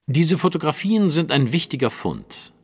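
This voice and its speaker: An actor playing a precise, superior, analytical detective in an audio drama, with a didactic and pointed delivery. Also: male voice, on the high side, very animated, moderate pace, breathy edge, big-room sound, exaggerated voice. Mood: incisive.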